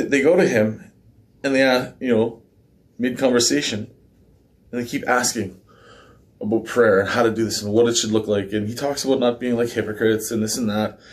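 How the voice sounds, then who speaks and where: A man in his thirties leads a weekly men's devotional from his living room beside a fireplace. His voice is a little hoarse from a week of heavy talking. He speaks casually, with fillers and small self-aware asides, and the camera sits a few feet in front of him. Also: medium pitch. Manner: relaxed and conversational, with filler words and small laughs. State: slightly hoarse. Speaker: men's ministry devotional host. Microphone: fixed camera at mid distance.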